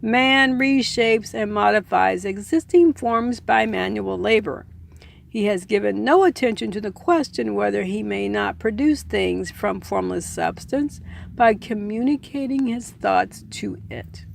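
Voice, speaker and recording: A woman reading a book aloud as a narrator, in a deliberate manner, with informal personal commentary of her own. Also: medium pitch, creaky, a little hiss or hum. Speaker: audiobook reader adding own commentary.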